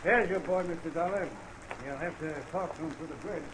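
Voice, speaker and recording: An actor playing an obliging jail guard in a 1950s radio crime drama. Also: male voice, a little high, brisk, close to an everyday voice. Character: obliging male jail guard calling out prisoners. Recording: very noisy old radio transcription.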